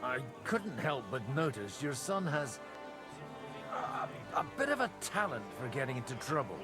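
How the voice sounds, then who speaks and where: A wry, lively medieval priest. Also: male voice, mid-range voice, measured pace, over music. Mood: courteous. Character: roguish disgraced medieval priest, elder brother, wry and plain-spoken. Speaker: video game character. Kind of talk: cinematic video game dialogue.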